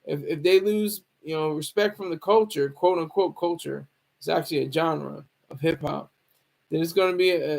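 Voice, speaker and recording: A man with a high-pitched voice talks off the cuff like a streamer commenting on the news. His delivery is casual and halting, wandering along as he repeats words and circles back over phrases. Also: vocal fry. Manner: rambling, halting, repeats himself.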